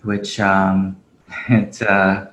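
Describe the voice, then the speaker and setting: A man's voice: low voice, monotone, drawn-out words, a bit bright, slight background noise. A man, a podcast guest, talking casually and reflectively with many fillers and easy laughs; he grew up in Japan. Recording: roomy echo.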